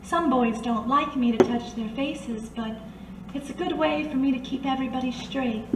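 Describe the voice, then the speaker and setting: A woman playing a calm, worldly blind woman who speaks softly and invitingly in a radio drama. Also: sits high, moderate pace, natural voice. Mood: quiet, inviting. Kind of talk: old-time radio drama acting. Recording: distant echoey room with background noise.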